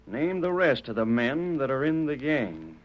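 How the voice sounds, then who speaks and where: A man's voice: mid-range voice, sing-song, a little slow. An actor playing a corrupt, bossy Border Patrol man in a Western radio drama, talking in curt, domineering frontier speech. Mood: accusing, sneering. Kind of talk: 1930s-40s Western radio acting. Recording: muffled old radio recording.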